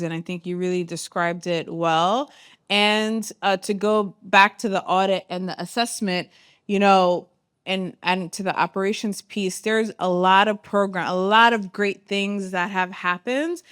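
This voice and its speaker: A woman moderating a panel discussion, speaking in a measured way with frequent ums. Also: medium pitch, clear, resonant tone. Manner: measured, many ums and uhs. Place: symposium panel room.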